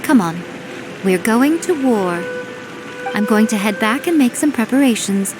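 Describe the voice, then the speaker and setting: A woman voicing a sly, proud fox spirit priestess in a video game, smooth, teasing and self-assured. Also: fairly high pitch, animated, a little slow, low-quality recording. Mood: indignant. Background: game music.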